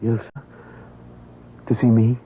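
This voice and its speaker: An actor playing an anguished, brooding pianist in an old-time radio drama, halting and intense. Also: male voice, mid-range voice, monotone, lightly acted. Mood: hesitant. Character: anguished, bitter German pianist, brooding and proud. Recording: noisy, tinny vintage radio recording.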